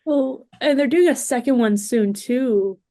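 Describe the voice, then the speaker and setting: A woman with a slightly high, mellow voice chats as a podcast guest. She is informal and expressive, and the recording is dry. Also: a little slow, no room sound. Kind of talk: casual podcast chat.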